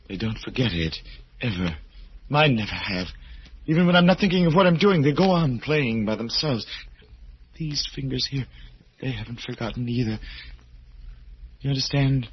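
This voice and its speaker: An actor playing a desperate, obsessed and menacing man in an old-time radio horror drama, speaking with brooding, halting intensity. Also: mid-range voice, animated, slightly rough. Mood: haunted, intense. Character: desperate, obsessed, menacing male musician with a grafted hand. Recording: muffled, noisy vintage radio recording.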